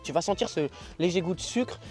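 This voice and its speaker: French dude hyping an old car outside, bit higher voice, music playing under him. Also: male voice, lively intonation, brisk, darkish tone. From France. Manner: enthusiastic, filler-heavy, swears casually. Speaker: car video co-host. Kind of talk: casual chat about a car. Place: outdoors by a vintage car among vineyards.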